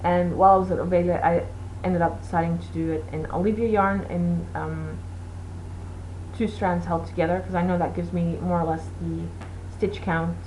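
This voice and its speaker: Woman host, Portuguese native, low-ish voice, easygoing rambling delivery with faint background noise. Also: fairly even intonation, normal speed, a little mellow, poor audio. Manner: rambling, chatty, many fillers. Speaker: knitting podcast host. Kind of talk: casual chat.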